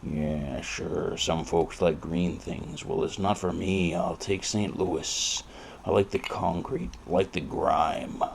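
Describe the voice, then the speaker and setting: A cynical noir private eye, played by a man, speaks in a low, gravelly voice. He is deadpan and world-weary, narrating as in a detective radio play, with faint noise behind him. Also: slow pace, full character voice. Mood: cynical, morose. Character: brooding, cynical hardboiled male private eye. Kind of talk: noir detective audio drama, narration and dialogue.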